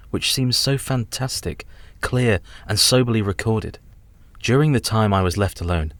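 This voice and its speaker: A man plays a polite young solicitor in a radio drama. The voice sits a little low, with careful, formal diction and an earnest manner. The recording is dry and close. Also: no echo, natural voice. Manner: formal, precise, courteous. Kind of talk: radio play acting.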